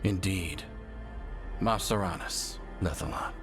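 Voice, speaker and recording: A man playing a solemn, weary elven resistance leader in a game, speaking in a grave, measured way. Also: sits low, music in the background. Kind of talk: video game character dialogue.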